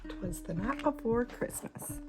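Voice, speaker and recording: A woman reading a children's storybook aloud in an expressive, sing-song verse delivery. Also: a little high, measured pace. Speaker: bedtime story reader. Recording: music playing behind the voice. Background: plucked guitar music.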